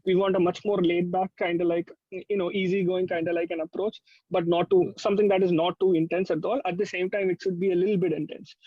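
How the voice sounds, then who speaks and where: A man's voice: high-pitched, level tone, fast talker, husky, dry recording. A young man with an Indian accent, talking casually as a musician being interviewed. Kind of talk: casual chat. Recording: dry but slightly muffled audio.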